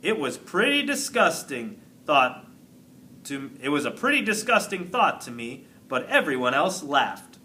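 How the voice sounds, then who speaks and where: A man, a teacher, reads a children's book aloud with expressive storybook narration. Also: a little high, unhurried, a little hiss or hum.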